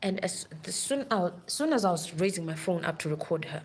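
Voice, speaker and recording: A woman's voice: on the low side, lively intonation, fairly quick, breathy edge. A woman who works as a nurse, telling a long story in a rambling, urgent way on a live stream. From Africa.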